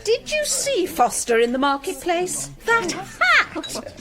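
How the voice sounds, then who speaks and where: A woman's voice: very high-pitched, very animated, slow pace, exaggerated voice. An actress playing a chattering, well-to-do small-town society woman in a radio drama, gossipy, affected and full of complaints. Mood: mock exasperated. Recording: voices of a busy gathering behind.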